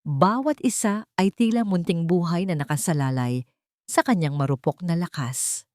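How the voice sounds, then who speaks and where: A woman narrating a dramatic audiobook story in a solemn, literary way, with short deliberate phrases. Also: fairly low pitch, a little mellow, dry recording, clean studio sound.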